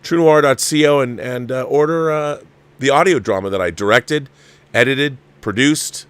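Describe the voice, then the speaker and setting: A man's voice: mid-range voice, normal speed, a bit bright, smooth, no echo. A man hosting a film review livestream, chatting off the cuff to viewers in a rambling, emphatic way and often repeating his phrases. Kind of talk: casual off-the-cuff movie review chat to viewers.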